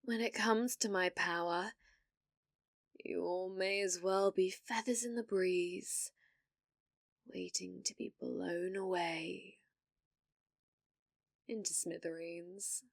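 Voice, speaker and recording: A woman's voice: fairly low pitch, fairly even intonation, slow, creaky, pauses often, no echo, studio quality, heavily acted. A British woman playing a cruel, domineering villainess in an ASMR fantasy roleplay, taunting theatrically and slipping into whispers. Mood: quiet menace. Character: cruel, domineering female villainess sorceress, gloating and possessive.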